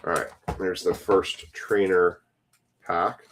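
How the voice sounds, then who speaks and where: A man's voice: sits low, steady tone, takes it slowly, a bit bright, slight background noise. A man casually chatting on a live stream while opening trading card packs, rambling to his viewers.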